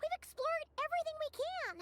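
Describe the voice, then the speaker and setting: A woman voicing a tiny, excitable childlike fairy companion in a video game, chirpy and exclamatory. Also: extremely high pitch, fairly quick. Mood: worried.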